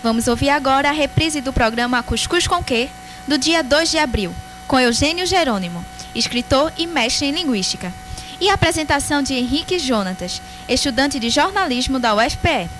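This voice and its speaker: High-voiced female station announcer. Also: animated, moderate pace, a bit bright, slight background noise, rough recording. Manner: smooth, announcer delivery. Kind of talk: scripted announcement.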